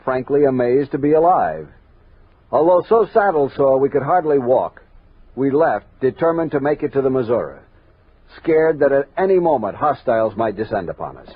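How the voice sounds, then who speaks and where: Guy playing a resolute army captain in an old radio western, really animated, lots of hiss. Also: medium pitch, lightly acted. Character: army captain leading a frontier expedition, resolute, reflective. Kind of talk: 1960s radio western acting and scripted narration. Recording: noisy tinny vintage 1960s radio recording.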